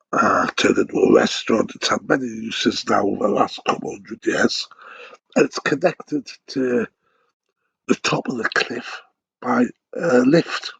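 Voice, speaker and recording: A raspy, gravelly man talks through a head cold, sounding croaky and nasal. He chats loosely, with ums and false starts. The recording is dry and a little dull. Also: mid-range voice, no room sound. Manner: chatty, conversational, with ums and restarts. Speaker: podcast host and paranormal investigator. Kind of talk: solo podcast monologue. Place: at home in bed.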